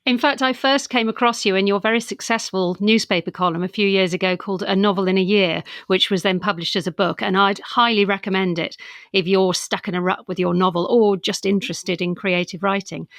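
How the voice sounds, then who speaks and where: A woman's voice: mid-range voice, fairly bright tone, no echo. British woman interviewer, dry close sound. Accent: British English. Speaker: podcast interviewer. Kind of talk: semi-scripted interview questions.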